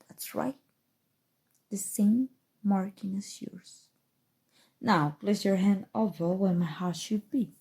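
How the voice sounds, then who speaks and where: Female voice actor as an obsessive sorceress, seductive, very soft dark tone, creaky low edges, intimate ASMR delivery, dramatic pauses. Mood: hushed, coaxing. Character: possessive evil sorcerer woman, calm, seductive, obsessive. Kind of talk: ASMR roleplay, scripted acting.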